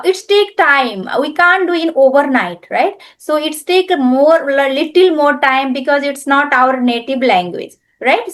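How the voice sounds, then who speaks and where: Nepali lady, native nepali, highish voice, lots of uh and restarts, casual video call chat. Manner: halting, with many fillers and restarts. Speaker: guest. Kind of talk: video-call conversation in learner English.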